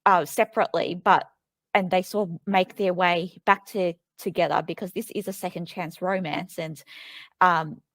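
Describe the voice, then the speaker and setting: A woman's voice: fairly low pitch, steady tone, moderate pace, fairly bright tone, no room sound. A woman author being interviewed, speaking thoughtfully and hesitantly with frequent ums and restarts. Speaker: author guest. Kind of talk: conversational interview.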